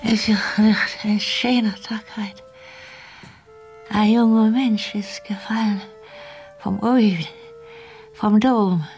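An actress in a radio drama playing a weary, confused woman who speaks haltingly and absently in breathy half-whispers. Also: medium pitch, fairly even intonation, darkish tone, soft background music. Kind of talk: German radio drama acting.

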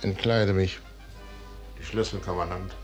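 An actor playing a proud, weary, fatalistic aging general in a grand, measured 1950s radio drama style. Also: male voice, low-pitched, fairly even intonation, music in the background. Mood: weary, musing. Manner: measured, grand, dismissive, declaiming. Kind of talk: 1950s German radio drama stage acting. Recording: noisy 1950s radio recording.

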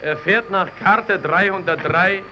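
Soviet radio operator, obedient and unhurried, noisy vintage radio drama sound. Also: male voice, high-pitched, sharp tone, exaggerated voice. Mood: dutiful. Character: dutiful Soviet radio operator reporting to his comrade. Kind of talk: German radio play acting. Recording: muffled, noisy old radio recording.

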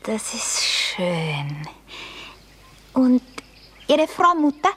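A woman's voice: fairly high pitch, very animated, drawn-out words, fairly bright tone, slightly rough, heavily acted. An actress playing a naive, kindly young goose girl with an Austrian accent, simple and wondering, in a Viennese radio comedy. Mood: shy, admiring. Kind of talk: classic Viennese stage comedy radio acting.

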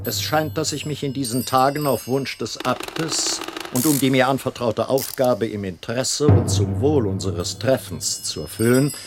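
An actor playing a menacing, unctuous medieval inquisitor with a formal, pompous delivery in a radio drama. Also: male voice, mid-range voice, normal speed, loud music behind the voice, lightly acted. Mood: solemn menace. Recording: clear background ambience noise.

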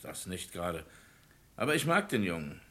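A man's voice: low voice, very animated, moderate pace, a bit bright. A man acting a blunt, testy witness in a crime radio play, with a precise way of speaking. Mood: reserved.

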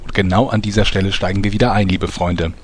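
A man narrating a scripted audio drama as a storyteller who addresses the listeners directly, with a husky voice. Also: deep voice, steady tone, quick pace, slight background noise.

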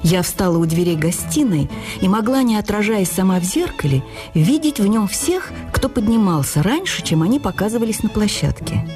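A woman narrating a literary memoir for radio drama in an intimate, wistful and expressive manner. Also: medium pitch, moderate pace, few pauses, over music.

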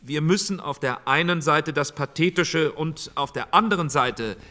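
A man's voice: a little high, normal speed, pushed and bright. An actor playing a male committee chairman, formally opening a session in a businesslike manner.

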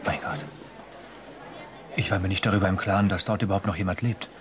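An actor playing an anxious, stubborn official in a German radio drama, speaking in a tense, defensive way. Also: male voice, sits low, level tone, fast, close to an everyday voice. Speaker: government official in charge of regeneration.

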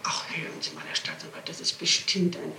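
A woman's voice: deep voice, big pitch swings, brisk, gravelly, bright, forceful tone, reverberant, slight background noise. A woman being questioned, answering spontaneously in an emphatic, pleading, insistent manner on an archival interrogation tape. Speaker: person being questioned by a prosecutor.

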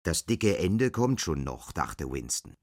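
An actor playing the sober narrator of a bleak dystopian tale in a scripted radio play. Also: male voice, a bass voice, big pitch swings, slow pace, no room sound, studio quality, exaggerated voice. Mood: sober, measured.

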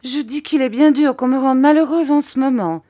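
A woman's voice: mid-range voice, animated, a little slow, darkish tone, no room sound, studio quality, pitched above the performer's own voice. A woman voicing a petulant, self-pitying young mother in an audiobook, plaintive and exclaiming. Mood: sulky, hurt.

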